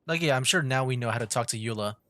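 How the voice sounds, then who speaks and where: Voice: medium pitch, quick pace, fairly bright tone, no room sound. A streamer casually commenting on a game, thinking aloud informally and reading dialogue choices out.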